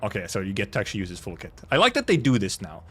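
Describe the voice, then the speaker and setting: A man's voice: fairly low pitch, huge pitch swings, very fast, a bit bright, slightly rough. A man streaming a game, reacting casually with wry, offhand muttered asides. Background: faint game music.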